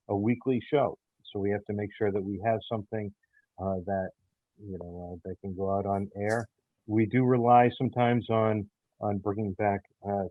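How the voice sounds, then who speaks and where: A man answering interview questions as a writer, explaining himself in a halting way with many pauses. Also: on the low side, no echo, narrow, tinny audio. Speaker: audio theater writer and creator.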